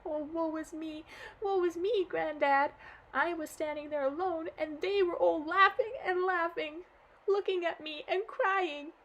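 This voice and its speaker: A heartbroken little girl from a storybook wails and sobs through her story in a high, bright voice. A woman performs her while reading aloud. The delivery swoops up dramatically with each new grievance. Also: measured pace, dull audio. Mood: wailing. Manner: high, sobbing, wailing delivery.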